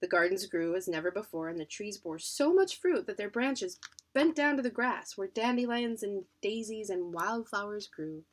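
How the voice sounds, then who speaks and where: Storybook narrator: adult female, medium pitch, expressive read-aloud delivery for children. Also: moderate pace. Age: adult, parent. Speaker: children's book reader.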